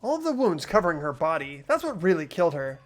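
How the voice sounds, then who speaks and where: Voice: mid-range voice, sing-song, brisk, a little hiss or hum, close to an everyday voice. Performer voicing an earnest teenage schoolboy. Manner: earnest, reasoning aloud. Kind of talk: fan voice acting of a game.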